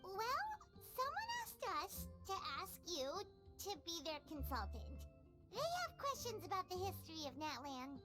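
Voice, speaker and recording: A tiny, cheeky fairy girl from a game. The voice is extremely high and squeaky, childlike and perky, bouncing widely in pitch over clear background music. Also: huge pitch swings, a little slow, heavily acted. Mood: earnest pitch. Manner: perky, speaks of herself in third person. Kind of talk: video game voice acting. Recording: music behind the voice.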